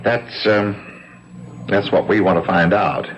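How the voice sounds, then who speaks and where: An actor playing a breezy, needling company boss in a 1970s radio drama, with a dry, brisk, teasing manner. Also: male voice, sits low, steady tone. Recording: dull, faintly noisy 1970s radio broadcast.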